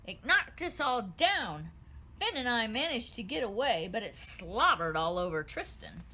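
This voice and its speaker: A loyal fairy guard reports in an earnest, urgent way, as a woman reads the part. The pitch rises and falls with feeling in a bright storybook telling. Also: very animated, a little slow, heavily acted, low-quality recording.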